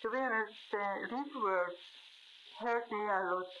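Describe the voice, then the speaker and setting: A young woman with a Palestinian Arabic accent speaks in careful, halting sentences and often stops to find the next word. Her voice sits at an ordinary pitch. The sound is a little dull, with faint noise in the background. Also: fairly bright tone. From Gaza, Palestine. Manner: hesitant, searching for words with many fillers. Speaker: journalist guest. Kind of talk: interview answers.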